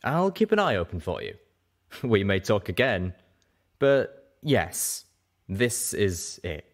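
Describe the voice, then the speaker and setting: A kindly dragon guardian, performed by a man in a storybook reading. He is fond and measured, with a lively swing in pitch. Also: heavily acted.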